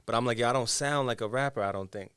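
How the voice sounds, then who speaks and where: A man with a deep, low voice, talking quietly as a rapper guest in a casual interview, his sentences trailing off. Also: quick pace, clean tone, dry recording, studio quality.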